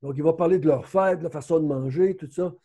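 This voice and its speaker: High, husky Québécois man teaching. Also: quick pace, mellow. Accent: Québécois French. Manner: conversational, frequent self-corrections. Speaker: Bible study teacher. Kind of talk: casual Bible teaching.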